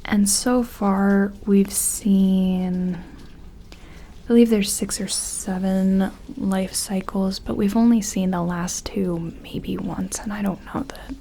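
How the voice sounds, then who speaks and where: Female ASMR roleplayer as a gentle, careful sci-fi examiner: unhurried, mellow, half-whispered, reassuring, with faint noise behind. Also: mid-range voice, steady tone. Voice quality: soft, breathy, often dropping to a whisper. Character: gentle, careful woman scientist examining an alien.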